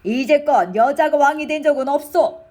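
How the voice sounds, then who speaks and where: A woman's voice: sits high, normal speed, darkish tone, natural voice. A woman voicing a sceptical, grudging court minister in a children's storybook, pointed and challenging in manner. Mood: sceptical, disapproving. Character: sceptical, grudging male minister opposed to the queen.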